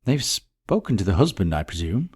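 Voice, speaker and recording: A man's voice: sits low, sing-song, moderate pace, darkish tone, husky, exaggerated voice. A man voicing a genteel, shrewd lady detective in a mystery story, polite, thoughtful and probing.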